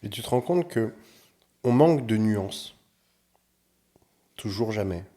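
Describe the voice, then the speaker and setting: Mellow low-voiced man explaining with examples. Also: takes it slowly. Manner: animated, explains things with examples. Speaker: podcast guest, bodybuilder. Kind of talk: casual podcast chat.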